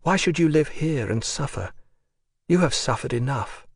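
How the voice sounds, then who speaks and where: A man reading the part of a resigned, emotional young woman prisoner in an audiobook, his voice a touch raised and soft. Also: on the high side, lively intonation, moderate pace, vocal fry, husky, no room sound, clean studio sound, pitched above the performer's own voice, heavily acted. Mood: earnest.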